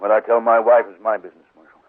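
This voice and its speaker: An actor playing a hungover, guilty rancher, no longer young, reluctant and defensive in a 1950s radio western. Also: male voice, fairly low pitch, flat delivery, rapid-fire, close to an everyday voice. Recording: muffled, noisy 1950s radio recording.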